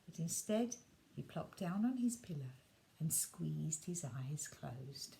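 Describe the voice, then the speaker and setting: A woman reading a children's bedtime story aloud, gentle and expressive, sometimes dropping her voice soft. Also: sits low, takes it slowly, gravelly, a little hiss or hum, low-quality recording.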